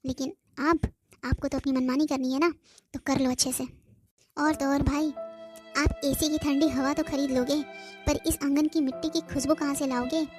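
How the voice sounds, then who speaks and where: High-voiced, emotional young daughter played by a woman. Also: fairly even intonation, moderate pace, darkish tone, faint music behind, close to an everyday voice. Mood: hurt, reproachful. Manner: emotional, reproachful, earnest. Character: devoted young daughter, pleading, sentimental about home. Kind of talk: amateur cartoon voice acting.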